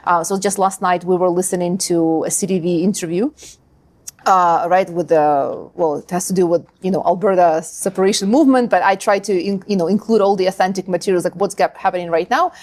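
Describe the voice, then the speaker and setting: A woman's voice: fairly low pitch, fairly quick, darkish tone. A woman from Ukraine, a language school founder, talking casually as a podcast guest, full of fillers and tag questions. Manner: chatty, many fillers and "right?". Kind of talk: podcast interview.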